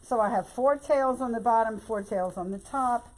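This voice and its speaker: Older woman, hoarse, Louisiana Southern accent, folksy chatter, craft tutorial host. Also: on the high side, moderate pace, rough recording. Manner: chatty and folksy, keeps saying y'all. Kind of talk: casual bow-making demonstration.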